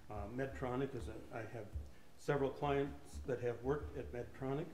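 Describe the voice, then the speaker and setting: A hoarse man with a cold, speaking haltingly at a city council meeting with many fillers and restarts. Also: medium pitch, a little mellow. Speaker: council appointee applicant. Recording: echoey council chamber with noise.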